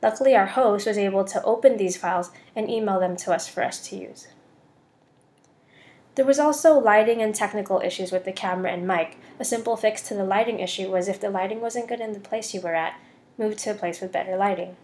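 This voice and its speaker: A woman's voice: mid-range voice, fairly quick, a little mellow. Student presenter, young American female, mid-range voice, scripted slideshow narration. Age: young adult.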